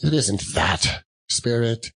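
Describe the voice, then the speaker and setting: A man voicing a softened miser in a storybook reading, earnest, defensive and halting. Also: mid-range voice, lively intonation, breathy edge, full character voice. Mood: heated, earnest. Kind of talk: dramatic audiobook reading of a classic story.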